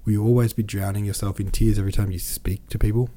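A stern senator and father from a novel, read out by a man, speaks in a deep, dark voice. He is cold and impatient, giving curt commands. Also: level tone, fast talker, natural voice. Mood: harsh, irritated.

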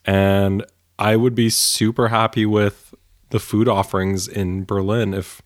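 A man co-hosting a podcast, chatting casually with quick, wry asides. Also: lowish voice, level tone, no echo, clean studio sound. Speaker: podcast co-host. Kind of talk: casual chat.

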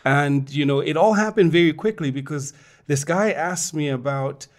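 A man's voice: on the high side, normal speed. A middle-aged man from Zambia, an audiologist and guest, telling his story in an interview; he laughs often and repeats words when he starts a thought.

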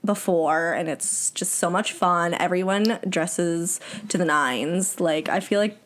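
A woman's voice: on the low side, takes it slowly. A young American woman chatting casually and playfully as a podcast co-host. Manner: giggly, playful, informal. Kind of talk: casual chat. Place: home studio in a bedroom.

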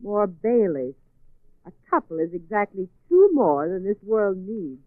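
A woman's voice: mid-range voice, very animated, measured pace. An actress playing a plucky, dryly witty female sleuth's companion in a 1940s radio drama. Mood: wry, relieved. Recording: phone-like, dry old radio recording.

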